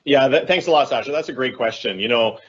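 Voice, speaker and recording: A man's voice: mid-range voice, quick pace, breathy edge, dull audio. A man speaking as a corporate talent head on a panel, explaining his points reflectively and correcting himself as he goes. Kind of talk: conversational panel answer.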